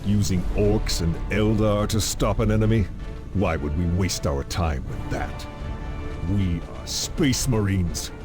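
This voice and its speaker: A fearless, scornful space marine from a video game. He speaks low and very deliberately, haughty and emphatic, over music. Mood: scornful, proud. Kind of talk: dramatic video game acting. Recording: music behind the voice.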